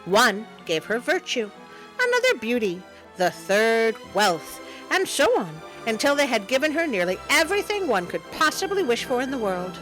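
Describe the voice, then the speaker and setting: A woman's voice: on the high side, sing-song, normal speed, fairly bright tone, soft background music, poor audio. A woman hosting a story show, reading a tale aloud with playful, wry asides.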